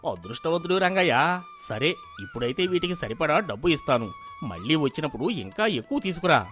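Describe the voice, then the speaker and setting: Guy doing a shrewd merchant in a moral story, probing, lively intonation, music under. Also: fairly high pitch, brisk. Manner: probing, familiar. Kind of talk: animated moral-story dialogue.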